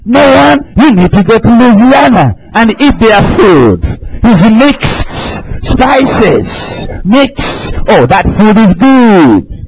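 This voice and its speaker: A middle-aged man from Africa with an East African accent, preaching a fervent sermon in a church, often shouting and chuckling. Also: sits high, big pitch swings, takes it slowly, vocal fry, slight background noise, rough recording. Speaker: visiting preacher.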